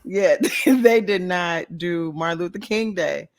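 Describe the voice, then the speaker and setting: A young woman co-hosting a casual podcast chat, a little hoarse, teasing and laughing often. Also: on the low side, takes it slowly. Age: young adult. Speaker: podcast co-host.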